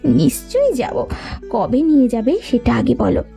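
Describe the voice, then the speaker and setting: Plucky young village heroine for a cartoon, female actor: high pitch, rounded dark tone, earnest and resolute. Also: big pitch swings, normal speed, gravelly, full character voice. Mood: feigned innocence. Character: bold, determined young village girl and her sisters. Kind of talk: cartoon story dubbing. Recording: music behind the voice. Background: background music.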